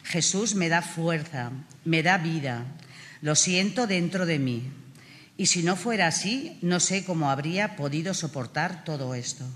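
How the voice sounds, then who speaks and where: A woman's voice: sits low, animated, unhurried, fairly bright tone. A middle-aged woman with a Catalan accent, giving a prepared personal testimony as a prison inmate to an assembly. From Catalonia, Spain. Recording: reverberant large hall, public address.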